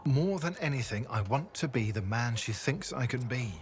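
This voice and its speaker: An actor voicing a video game's haunted defector, a former state assassin with a halting, brooding, confessional delivery. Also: male voice, mid-range voice, animated, slight background noise, close to an everyday voice. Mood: weary, haunted. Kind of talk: video game character dialogue.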